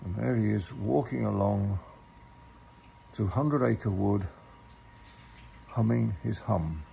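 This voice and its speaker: A man reading a children's story aloud with gentle, deliberate, theatrical phrasing. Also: fairly low pitch, gravelly, a little hiss or hum, poor audio. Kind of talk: expressive storybook narration.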